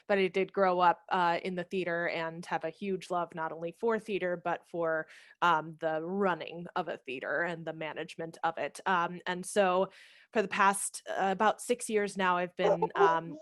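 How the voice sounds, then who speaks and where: A woman's voice: lowish voice, a bit bright, no echo, clean studio sound. An American woman in her thirties, chatting informally and enthusiastically as a guest in a video interview. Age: 30s. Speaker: theater managing director and actor, interview guest. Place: home room indoors, on a video call. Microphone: headset mic.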